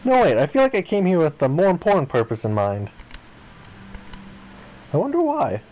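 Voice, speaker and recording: Man playing flippant amnesiac castaway, very animated. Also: a little high, moderate pace, poor audio. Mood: earnest, grandiose. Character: flippant amnesiac young male castaway, grandiose dreamer. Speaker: video game player reading aloud. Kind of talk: dramatic read-aloud of visual novel text.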